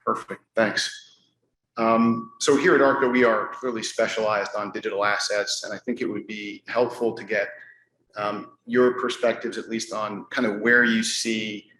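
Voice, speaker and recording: A man moderating a conference panel, speaking haltingly with many fillers. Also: fairly low pitch, flat delivery, bright, forceful tone. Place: conference room with live audience. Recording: echoey room, faint hum.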